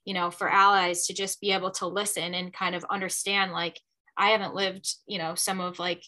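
A woman's voice: fairly low pitch, level tone, brisk, pushed and bright. A young woman hosting a casual interview, chatty and enthusiastic, with plenty of likes and you knows. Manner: enthusiastic, chatty, many fillers. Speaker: podcast host, former athlete.

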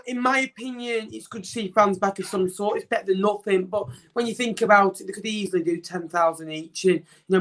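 A man's voice: high voice, fairly bright tone. A man co-presenting a football podcast, blunt and opinionated. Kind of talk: casual football chat.

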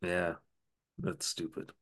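A man's voice: slow pace, a bit bright. A man co-hosting a podcast, recapping an old TV episode in casual, sarcastic chat. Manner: sarcastic, recapping scenes with quoted asides. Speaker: podcast co-host.

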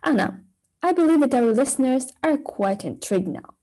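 A woman's voice: sits high, animated, moderate pace, mellow, husky. A young woman hosting a podcast interview, reading a scripted intro and then talking more loosely with fillers. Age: young adult. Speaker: podcast host.

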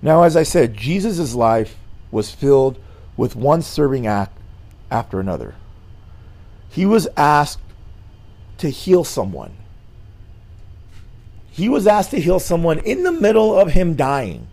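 Slightly hoarse, mellow-voiced middle-aged man. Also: on the high side, big pitch swings, a little slow, pauses often. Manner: earnest, with frequent "uh" fillers and restarts. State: slightly hoarse, losing his voice. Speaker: church president hosting a weekly show. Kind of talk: informal sermon-style Bible lesson.

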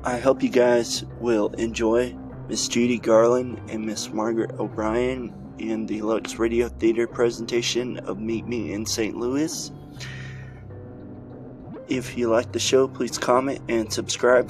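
A man's voice: medium pitch, steady tone, measured pace. A man speaking as a podcast host, giving a casual introduction to a show. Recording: loud music behind the voice. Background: music.

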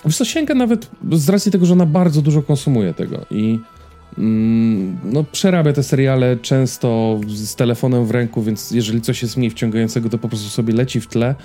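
A man's voice: mid-range voice, lively intonation, fairly quick, mellow, soft background music. A man in his thirties chatting casually as a podcast host, rambling and hesitant, often repeating words as he goes. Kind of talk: casual chat about personal habits and series.

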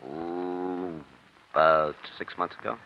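A man's voice: low-pitched, level tone, slow. An American man playing an insolent, sardonic gangster being questioned in 1940s radio drama, evasive and cocky. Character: cocky, insolent male gangland gunman under questioning. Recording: muffled, noisy old radio broadcast.

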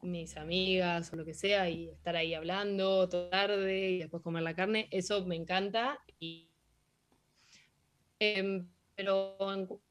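A young woman with an Argentine accent answering interview questions casually, with many fillers and restarts. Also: lowish voice. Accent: Argentine Spanish. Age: young adult. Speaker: interviewee, hockey player.

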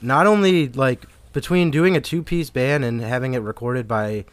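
A man's voice: medium pitch, moderate pace, clean tone, no echo. A man in his thirties, a musician in an interview, speaking easily with hesitant starts, in a band practice room. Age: 30s. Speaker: musician guest. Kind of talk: casual conversation.

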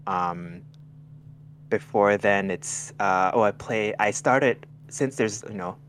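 Young adult man from Thailand, very low voice; halting casual guest, lots of restarts. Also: fairly bright tone, a little hiss or hum. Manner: hesitant, many restarts and "like" fillers. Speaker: podcast guest. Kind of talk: casual chat over a call.

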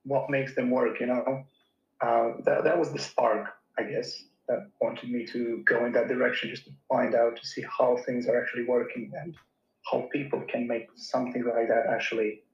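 A young man from Romania speaks in a narrow, even tone, pausing often with fillers as he thinks through his answers. His voice comes through a dull, muffled line that bounces around an echoey room, like a remote video call. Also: mid-range voice. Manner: thoughtful, hesitant, many fillers and restarts. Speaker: engineer guest. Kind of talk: casual interview answers.

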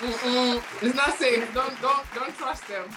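High-voiced teasing woman co-host. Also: fairly even intonation, slow pace, noisy background. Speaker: talk show co-host. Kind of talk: casual banter. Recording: loud music and chatter behind.

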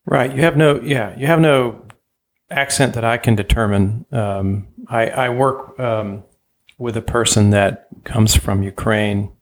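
A man's voice: medium pitch, a little slow. A man born in Germany, a native German speaker, interviewing a guest and often rambling and restarting his sentences. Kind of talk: casual interview conversation.

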